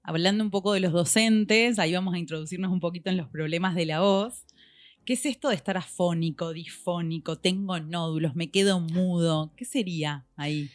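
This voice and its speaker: A woman co-hosting a podcast, asking calm, concise questions. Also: on the low side, brisk, no room sound. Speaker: podcast co-host.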